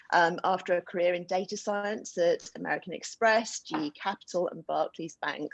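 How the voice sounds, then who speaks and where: A woman's voice: on the low side, creaky, breathy edge, no echo. A woman hosting and moderating an online panel discussion, introducing the speakers in a chatty way with many fillers, heard over a video call. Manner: warm, chatty, with frequent um fillers. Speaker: host and moderator. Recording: dull lo-fi video call audio.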